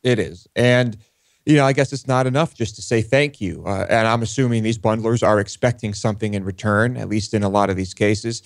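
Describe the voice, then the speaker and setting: A man's voice: lowish voice, a little fast, dry recording. Musing male co-host, dry sound. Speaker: talk show co-host. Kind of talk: casual discussion.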